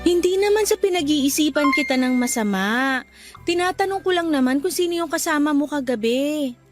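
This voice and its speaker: A woman with a Filipino accent playing a gentle, anxious young office worker in a radio drama. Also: sits high, lively intonation, moderate pace, over music. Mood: pleading, worried.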